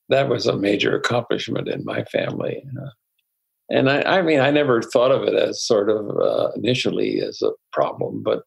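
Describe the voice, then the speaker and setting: An older man, a psychologist guest, telling personal stories in a reflective, unhurried way with soft laughs. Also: lowish voice, vocal fry, husky, muffled recording. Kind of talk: conversational interview storytelling.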